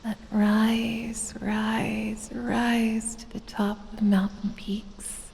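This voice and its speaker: A sinister female lamia, acted by a woman murmurs in a breathy, mellow whisper. She draws out each phrase extremely slowly, with false sweetness and possessive menace. Long cavernous reverb trails every word of this audio roleplay. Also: on the high side, level tone, a little hiss or hum, exaggerated voice. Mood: menacing, boastful. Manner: drawn-out, softly taunting, falsely sweet, possessive. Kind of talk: ASMR audio roleplay, slow whispered monologue.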